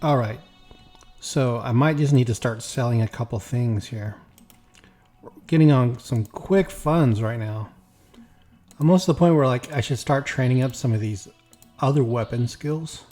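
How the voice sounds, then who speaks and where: Tired man, slightly raspy gameplay chat. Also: medium pitch, moderate pace, long pauses, faint music behind. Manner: rambling, relaxed, talks while playing. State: tired after work. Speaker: gaming YouTuber. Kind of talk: casual gameplay commentary.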